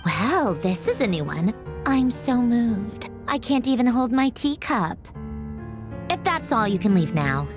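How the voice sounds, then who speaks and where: Haughty, sarcastic young lady with swooping pitch. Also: very animated, measured pace, over music. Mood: irritated, sarcastic. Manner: sarcastic, dismissive, theatrical. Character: haughty, sarcastic, easily irritated young woman inventor. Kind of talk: anime-style video game acting.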